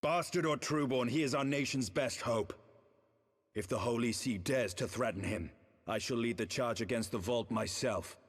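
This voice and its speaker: Casting: actor as bold loyal warrior, fantasy game, firm, defiant, mid-pitched, reverberant hall. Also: male voice, moderate pace, close to an everyday voice. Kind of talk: formal archaic fantasy game dialogue.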